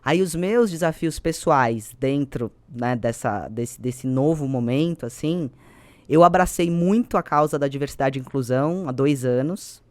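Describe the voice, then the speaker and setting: A woman's voice: very deep voice, a little slow, darkish tone, dry recording. A woman with a Brazilian accent speaking as an executive guest, explaining ideas conversationally and emphatically, with repetition and quoted phrases. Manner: emphatic, builds points with repetition and quoted speech. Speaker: podcast guest, business executive and mentor.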